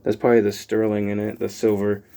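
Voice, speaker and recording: A man's voice: fairly low pitch, fast, smooth. A man, chatting casually as he unboxes items for his channel, rambling with lots of fillers. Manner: rambling, filler-heavy, relaxed. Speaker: channel host.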